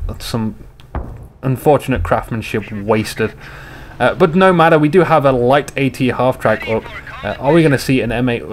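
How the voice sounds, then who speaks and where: Jokey man, slightly hoarse. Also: mid-range voice, a little hiss or hum. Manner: rambling, jokey, chats to viewers. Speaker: game commentator. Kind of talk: casual replay commentary.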